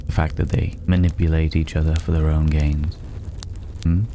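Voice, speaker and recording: A man playing a regal, teasing drifter with a secret in an intimate ASMR roleplay, speaking with wry condescension and drawn-out pauses. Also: rumbling low, level tone, mellow. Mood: probing, teasing. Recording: clearly audible background noise.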